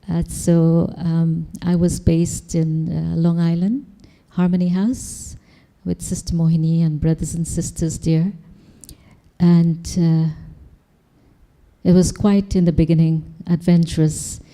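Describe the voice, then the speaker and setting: A woman's voice: fairly low pitch, little pitch movement, slow pace, mellow. A woman from Guyana speaking on a panel in a meditation hall, sharing her personal story in a friendly, conversational way with small laughs. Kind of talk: personal testimony.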